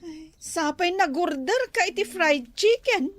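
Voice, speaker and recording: A woman's voice: very high-pitched, animated, unhurried, no echo. A woman acting a gossipy, teasing village friend in an Ilocano comedy drama, with an Ilocano Filipino accent.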